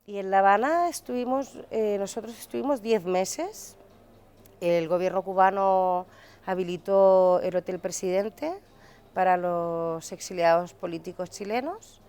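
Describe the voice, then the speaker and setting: A woman in a documentary interview, recounting the past in a measured way and stressing each item as she lists it. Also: medium pitch, darkish tone, clean tone.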